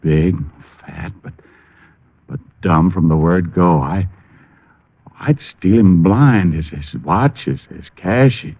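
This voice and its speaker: A man's voice: low-pitched, takes it slowly, slightly rough, no echo, full character voice. A man playing a wisecracking, cool-headed private detective in vintage radio drama style. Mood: feigned drowsy. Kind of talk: 1940s-50s radio drama acting with narration. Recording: muffled old radio recording with music.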